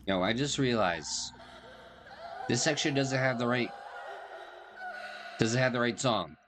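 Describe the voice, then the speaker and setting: A man's voice: mid-range voice, animated, slow pace, noisy background. A man giving casual, joking commentary as he plays a game, playful and quippy. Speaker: gamer commenting on a playthrough.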